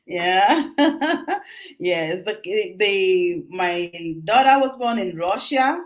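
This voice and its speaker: A middle-aged woman from Nigeria, a guest talking casually about her life, animated and quick to laugh, often restarting her words. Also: mid-range voice. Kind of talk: casual interview talk.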